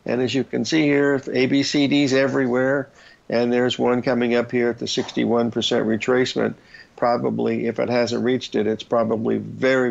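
A man's voice: mid-range voice, creaky, highs cut off. An older man hosting a market show over a headset, talking in a folksy, chatty way and calling listeners folks. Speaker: show host. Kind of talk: casual market chart talk.

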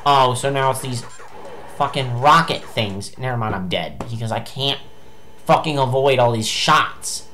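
A young man giving angry, swearing commentary while playing a video game, his voice going hoarse. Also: mid-range voice, measured pace, sharp tone, slight background noise. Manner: ranting, swearing, frustrated outbursts. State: losing his voice. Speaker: gameplay streamer.

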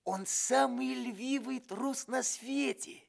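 A woman voicing an excitable, simple-hearted male scarecrow in a radio play, with a husky, creaky voice. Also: high voice, slow pace, heavily acted. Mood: naive. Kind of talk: theatrical radio play acting.

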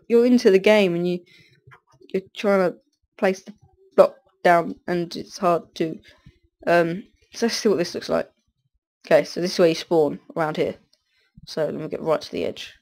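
Sniffly young guy rambling over gameplay. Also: sits high. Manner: rambling, self-interrupting, distracted. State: stuffy with a cold. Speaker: gaming commentator. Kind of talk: casual gameplay commentary.

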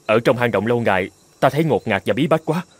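A man's voice: mid-range voice, animated, fast talker, husky, dry recording. A man voicing a brawny, honorable but anxious island chieftain in a cartoon fairy tale, speaking in an earnest, pleading manner. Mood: subdued, pleading. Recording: prominent music behind the voice.

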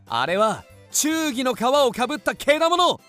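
A male actor playing a fickle, ardent young gentleman in a radio drama, with florid, overwrought theatrical delivery. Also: very high voice, big pitch swings, moderate pace, soft background music, exaggerated voice. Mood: haughty, insistent.

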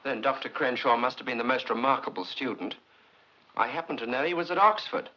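Male actor as high-strung lab scientist, vintage film. Bright clipped voice, suspicious, muffled sound with faint noise. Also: fairly quick, close to an everyday voice. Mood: accusing. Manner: tense, clipped. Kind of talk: 1950s film acting. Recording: dull, noisy 1950s film soundtrack.

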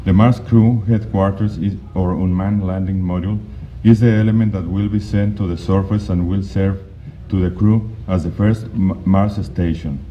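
Low-voiced man presenting slides. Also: fairly even intonation. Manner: halting, with frequent restarts and fillers. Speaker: conference presenter. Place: conference hall. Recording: dull, lo-fi recording with faint noise.

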